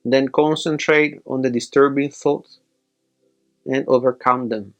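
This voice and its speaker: A man leading a guided meditation as a teacher, giving measured instructions in short, separated phrases. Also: medium pitch, steady tone, faint music behind.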